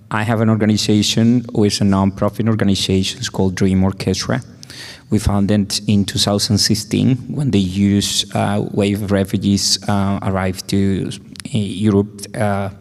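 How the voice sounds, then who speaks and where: A man from Venezuela introducing his organization on a panel, hesitant with many uhs. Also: lowish voice. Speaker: panelist, nonprofit founder. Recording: hall reverb.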